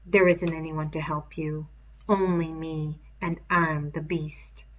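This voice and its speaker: A woman voicing a menacing, fly-covered pig's head that taunts a boy slowly and mockingly like a stern schoolmaster, on a dull lo-fi recording. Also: deep voice, flat delivery.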